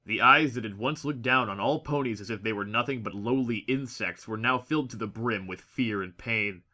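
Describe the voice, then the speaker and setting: A man reading a scripted story as a narrator, with expressive, dramatic delivery. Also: medium pitch, moderate pace. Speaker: audiobook narrator.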